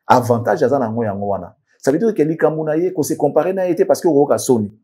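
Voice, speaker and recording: A man's voice: a little high, animated, fast talker, mellow, breathy edge. A man commenting in a casual studio discussion, switching between French and Lingala, often restarting and repeating words. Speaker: commentator guest.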